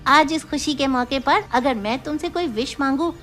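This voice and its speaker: High-pitched playful little girl, music behind. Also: normal speed, a bit bright. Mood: playful, teasing. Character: playful young girl, affectionate, teasing little sister. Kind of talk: Hindi audio drama acting.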